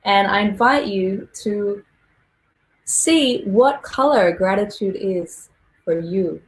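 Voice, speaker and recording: A woman from Australia guiding an online meditation session, speaking softly and slowly with gentle pauses. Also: mid-range voice, a little hiss or hum, rough recording. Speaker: singer and meditation guide. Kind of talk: guided meditation.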